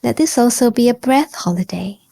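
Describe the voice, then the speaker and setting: A woman leading a guided meditation in a gentle, calm and encouraging manner, leaving pauses between phrases. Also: on the high side, gravelly, no echo. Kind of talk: guided body scan meditation.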